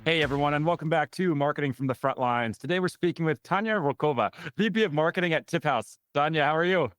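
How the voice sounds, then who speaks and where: A man's voice: a little high, animated, fast talker, fairly bright tone, soft background music. A man hosting a business podcast, asking questions and telling stories in a friendly way. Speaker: podcast host. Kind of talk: podcast interview.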